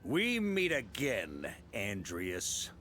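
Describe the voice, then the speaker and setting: An actor playing a steady, confident knight grandmaster in a video game, calm and assured. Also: male voice, fairly low pitch, very slow, a little hiss or hum, full character voice. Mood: calm, resolute. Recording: reverberant, faint music behind.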